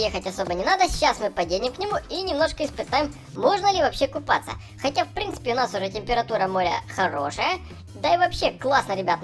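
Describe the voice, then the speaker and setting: Man acting cheerful children's clown, high voice, swooping intonation, gushing chatter, game narration, music behind. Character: cheerful young male clown entertaining small children. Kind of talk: excitable children's gameplay narration. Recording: voice over background music.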